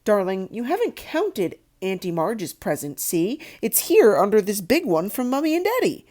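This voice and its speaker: A woman voicing a fussy, sharp-tongued middle-aged aunt in a storybook. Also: mid-range voice, big pitch swings, moderate pace, close to an everyday voice. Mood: doting. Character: snappish middle-aged aunt who dotes on her son.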